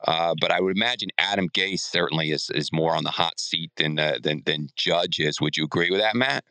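A man hosting a sports podcast, talking casually in a halting, conversational way and putting questions to his co-hosts. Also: sits low, sharp tone, clear, resonant tone, dry recording.

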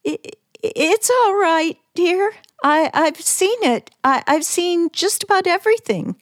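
Nervous, pleading wife for vintage radio thriller: high voice, slow hesitant theatrical delivery. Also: female voice, lively intonation, no echo, studio quality, heavily acted. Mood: panicked refusal. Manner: breathless, hesitant, stammering, theatrical. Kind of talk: 1940s radio suspense acting. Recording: old radio drama, faint music behind.

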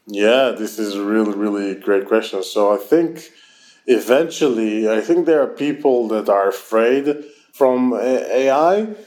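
Israeli man, mellow low-key tone, founder talking fast on a call, restarts a lot. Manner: fast, loose phrasing with frequent restarts. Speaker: company founder and CEO. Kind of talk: casual interview answers. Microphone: remote online call.

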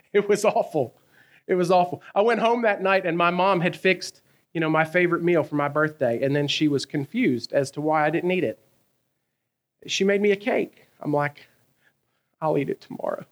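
A man, preaching a sermon in a conversational, animated way, asking his listeners questions and telling stories from his own life. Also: fairly high pitch, normal speed, pauses often. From American South. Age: 30s. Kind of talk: sermon with personal storytelling.

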